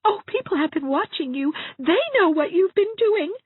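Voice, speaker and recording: A woman's voice: very high-pitched, expressive, moderate pace, no echo. Heartbroken, theatrical young woman voiced by a woman, tearful and self-pitying; dry, close sound. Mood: accusing. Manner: tearful, theatrical, sobbing delivery. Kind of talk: dramatized audiobook reading. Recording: tinny phone-like dry sound.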